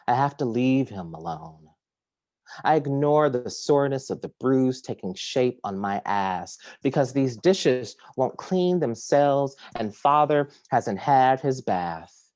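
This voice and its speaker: Slow, measured male poet reading aloud. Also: on the low side, a little mellow. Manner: slow, measured reading with hesitant filler-laden introductions. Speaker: poet reading at a virtual series. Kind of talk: poetry reading. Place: home kitchen or similar domestic room. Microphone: video call.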